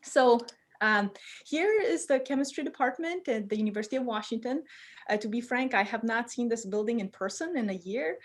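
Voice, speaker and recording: A woman's voice: a little high, expressive, brisk. A woman in her thirties from Romania gives a chemistry webinar lecture from her home basement over a video call, speaking informally with many fillers and restarts. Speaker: chemistry professor giving a webinar talk.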